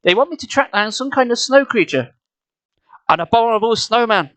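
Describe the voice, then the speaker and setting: An enthusiastic middle-aged dad in a children's story, as a reader puts him on. He explains things in an upbeat way, with animated intonation and a close, dry sound. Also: medium pitch, a little fast, no room sound, pitched above the performer's own voice, lightly acted. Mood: intrigued. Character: enthusiastic middle-aged father, a wildlife photographer.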